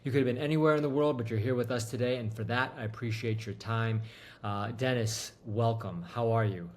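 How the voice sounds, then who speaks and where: A man's voice: medium pitch, steady tone, brisk. A man hosting an interview show, casual and rambling, with lots of ums and restarts. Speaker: podcast host.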